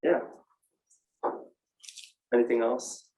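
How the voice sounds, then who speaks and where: A man in his twenties, a graduate student giving a relaxed, rambling class presentation with many fillers. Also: drawn-out words.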